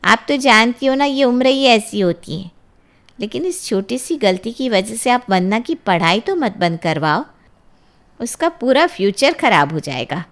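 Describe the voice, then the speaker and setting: A woman voicing a calm aunt in an audio drama, speaking gently and persuasively to settle a family quarrel. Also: on the high side, unhurried, voice pushed up. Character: calm, reasonable aunt soothing an angry family.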